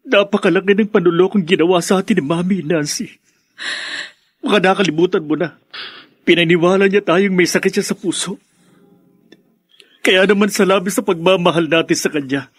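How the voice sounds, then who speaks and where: An actor playing a bitter, resentful elder brother in a Filipino radio drama. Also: male voice, high voice, husky, no room sound.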